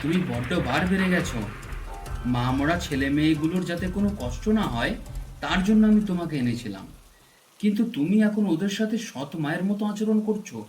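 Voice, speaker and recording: A man's voice: a little high, animated, brisk, a little mellow, faint music behind, lightly acted. A man voicing a poor village father in a cartoon folk tale, reproachful and protective of his children. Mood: indignant. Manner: reproachful, stern.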